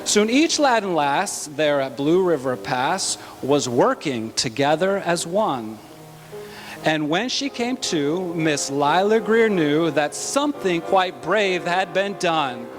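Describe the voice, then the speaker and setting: A man narrating a rhyming children's story in an expressive, rhythmic storytelling manner. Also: a little high, takes it slowly, over music. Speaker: story reader.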